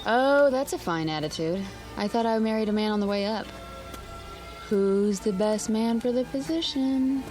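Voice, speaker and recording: A woman's voice: on the high side, moderate pace, darkish tone, soft background music, lightly acted. A young woman playing an impatient, wry but affectionate newlywed wife in conversational radio drama dialogue. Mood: encouraging. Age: young adult.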